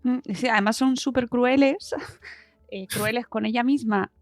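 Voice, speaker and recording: Female host, Spain accent, slightly high pitch. Casual interview chat, halting and repetitive phrasing. Dry, close sound. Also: dry recording, soft background music. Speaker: podcast host.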